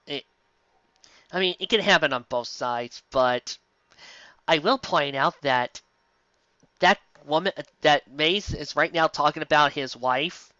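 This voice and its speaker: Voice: medium pitch, slow pace, long pauses, pushed and bright, no echo, dull audio. A sarcastic, exasperated commentator reading fan fiction aloud and breaking off into theatrical asides. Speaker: reader and commentator.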